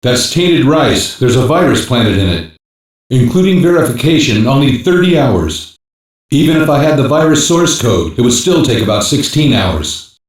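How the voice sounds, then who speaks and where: A strongly echoing, far-off male voice acted by a man plays a gruff intelligence hacker in a sci-fi video game. He reports technical findings in a clipped, matter-of-fact way, like a focused team member briefing a commander. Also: medium pitch, big pitch swings, normal speed, lightly acted. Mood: serious, focused. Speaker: voice actor in an English dub. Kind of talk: scripted video game voice lines, mission briefing. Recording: very echoey, distant-sounding game audio capture.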